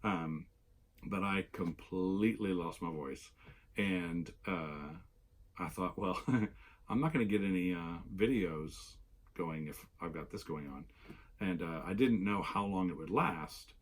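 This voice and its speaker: A man, a little hoarse after losing his voice, chatting casually in a rambling way with many fillers as the host of his knitting vlog. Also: deep voice, takes it slowly, a little hiss or hum.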